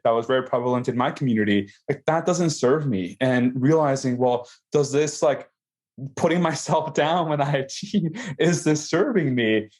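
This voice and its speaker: A man's voice: mid-range voice, darkish tone. Reflective young man from Mexico. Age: young adult. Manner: reflective, many restarts and fillers. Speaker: guest, food company founder. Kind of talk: casual conversation.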